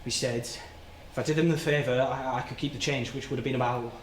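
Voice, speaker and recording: An actor playing an anguished, guilt-ridden working-class man in a halting, plain-spoken theatrical monologue. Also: medium pitch, steady tone, lightly acted. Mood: uneasy recollection. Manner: halting, plain-spoken, repeats and stumbles over words. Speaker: stage actor. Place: indoor soundstage. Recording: roomy, noisy soundstage livestream.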